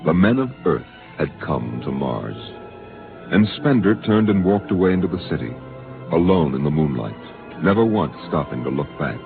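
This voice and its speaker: A man narrating a 1950s radio drama in a measured, solemn way; a tinny old broadcast over music. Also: rumbling low, sing-song.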